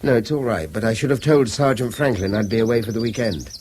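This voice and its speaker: A man's voice: lowish voice, quick pace, darkish tone, slightly rough. An actor playing a witty, beleaguered, educated Englishman in a 1970s radio drama, wordy and articulate with a dry manner. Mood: concerned. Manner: wry, wordy, theatrically articulate.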